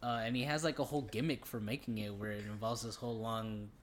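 A man's voice: fairly low pitch, fairly even intonation, quick pace, a little hiss or hum. A man co-hosting a casual movie review podcast, chatting in an easygoing, agreeable way. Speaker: podcast co-host.

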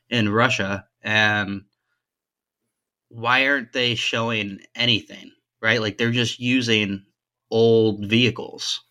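A man joins a casual podcast chat with a bright, forward tone. He laughs often and leans on "like" as he talks. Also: medium pitch. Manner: laughs often, many "like" fillers. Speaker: podcast co-host.

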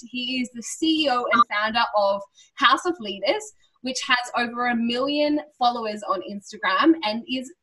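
A woman's voice: a little high, bright, forceful tone, rough recording. A young woman hosting a podcast interview, bubbly and effusive, full of gushing praise for her guest. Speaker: podcast host. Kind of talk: casual interview.

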